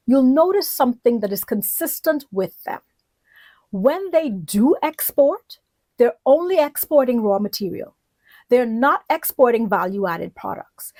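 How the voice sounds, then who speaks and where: High-voiced woman teaching, dry close sound. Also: a little mellow, dry recording. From the Bahamas. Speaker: political leadership lecturer. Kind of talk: instructional lecture to camera.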